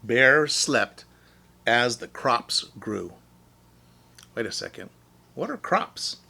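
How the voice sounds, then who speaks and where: A man with a bright voice reads a picture book to children like a teacher. His delivery is unhurried and very animated, with simple explanations. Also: mid-range voice, vocal fry, breathy edge. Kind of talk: expressive children's storybook reading.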